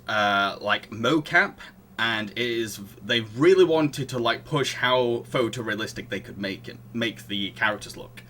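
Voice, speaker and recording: A man's voice: mid-range voice, bright, forceful tone, slight background noise. A man hosting a podcast, talking casually about films in a chatty, hesitant way, circling round his explanations. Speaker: podcast host. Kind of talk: casual film discussion.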